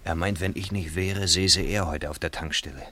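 A man's voice: low voice, fairly even intonation, normal speed, sharp tone. A man acting a wry, chatty small-town petrol station keeper in a German radio play, folksy and colloquial. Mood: sardonic. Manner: folksy, colloquial, deferential to the doctor.

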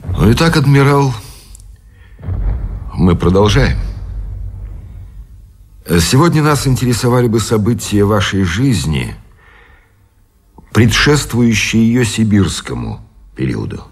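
An actor playing a revolutionary interrogator in a radio play, with a gravelly, husky voice and a deliberate, official manner. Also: male voice, lowish voice, very animated, exaggerated voice. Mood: businesslike, official. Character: stern, courteous revolutionary interrogator of a prisoner.

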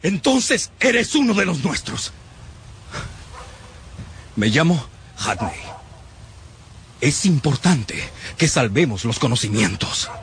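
A man's voice: fairly high pitch, big pitch swings, slow pace, pauses often, a bit bright, slight background noise, full character voice. An actor playing a fanatical, contemptuous male survivor in a radio drama, haranguing and menacing in a declamatory way. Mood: grandiose, cold.

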